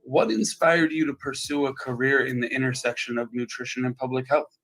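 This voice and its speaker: A man's voice: medium pitch, fairly even intonation, brisk, fairly bright tone, rough recording. Enthusiastic male show host. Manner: enthusiastic, welcoming introductions. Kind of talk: interview show hosting.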